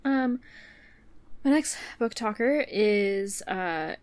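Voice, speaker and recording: A woman's voice: mid-range voice, animated, drawn-out words, darkish tone, smooth, dry recording. A woman co-hosting a podcast in casual chat, sniffly with a runny nose, rambling through plenty of fillers. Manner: chatty, rambling with many fillers. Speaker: podcast co-host.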